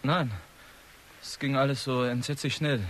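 Guy doing a troubled secretive young son in a radio play, subdued, insistent, mid pitch, slight noise behind. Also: steady tone, unhurried, a bit bright, close to an everyday voice, poor audio. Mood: subdued, tense. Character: troubled 23-year-old son, secretive, pleading, confessing killer. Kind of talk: German radio drama acting.

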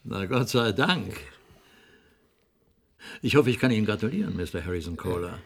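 An actor playing a fussy, protective boss in a radio play, with a gravelly voice and theatrical concern. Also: male voice, on the low side, expressive, moderate pace. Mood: concerned, reproachful. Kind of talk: German radio play acting.

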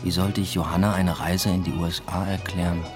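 A low-pitched actor as a male lecturer leading a double life tells his story in a literary radio play. He is dry, introspective and evasive, with clear music behind him. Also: flat delivery, a little fast, lightly acted. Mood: wry, reflective. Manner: dry, matter-of-fact, introspective. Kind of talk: literary radio drama first-person narration.

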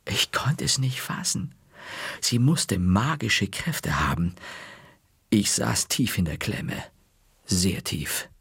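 A man narrating a children's story in an expressive, unhurried way. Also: fairly low pitch, vocal fry, breathy edge, no room sound.

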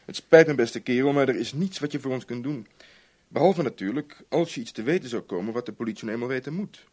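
An actor playing a sly, urbane chief inspector in a radio play, breezy and teasing in manner. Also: male voice, medium pitch, fast talker, a little mellow, husky, close to an everyday voice. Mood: genial, evasive. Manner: breezy, teasing, evasive old-boy chattiness. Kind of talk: Dutch radio play acting.

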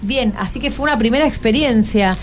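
A woman's voice: fairly high pitch, brisk, over music. A woman with an Argentine accent hosting a casual radio interview, asking simple questions in a studio. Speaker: radio host.

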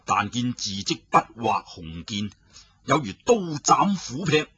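A man telling a traditional story in a dramatic, animated way, addressing his listeners. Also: mid-range voice, unhurried, husky, dry recording.

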